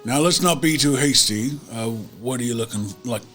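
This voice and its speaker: A man playing a gruff, God-fearing character who is cautious, unhurried and talks down to others. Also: mid-range voice, a bit bright, soft background music, natural voice.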